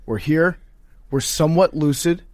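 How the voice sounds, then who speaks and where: A man's voice: fairly high pitch, normal speed, no echo, clean studio sound. A groggy, sleep-deprived man who has been drinking, co-hosting a podcast with wry, casual banter. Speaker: podcast co-host.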